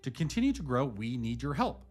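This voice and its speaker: Lively-toned male host over music. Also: mid-range voice, brisk. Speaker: host, writer and director. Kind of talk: scripted outro narration, friendly address to listeners. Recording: music under the voice.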